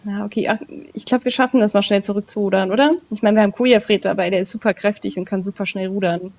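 A woman's voice: mid-range voice, fairly even intonation, fast talker, darkish tone. A woman playing an audio role-playing game, improvising casually in a chatty, flustered way on a slightly dull recording.

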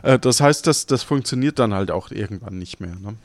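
A man's voice: fairly low pitch, lively intonation, brisk, dry recording. Reflective man, dry close sound. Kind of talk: casual chat.

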